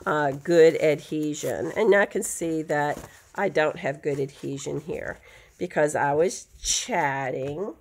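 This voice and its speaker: A woman's voice: low voice, takes it slowly, a little mellow. A woman with a nasal voice, chatty and rambling, teaching a quilting craft at her sewing table. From United States. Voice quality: nasal from allergies. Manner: chatty, rambling asides, self-correcting. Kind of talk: casual craft tutorial. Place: home sewing table, indoors.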